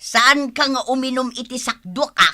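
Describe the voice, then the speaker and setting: A woman's voice: high-pitched, moderate pace, pushed and bright. A woman with an Ilocano accent playing an exasperated, scolding village wife in a comedy radio drama, nagging and emphatic. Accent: Ilocano, northern Philippines.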